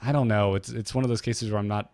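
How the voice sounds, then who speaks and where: A man's voice: lowish voice, fairly even intonation, fast, darkish tone, no echo. A man co-hosting a podcast in casual, conversational chat. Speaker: podcast co-host. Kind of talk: casual chat about game lore.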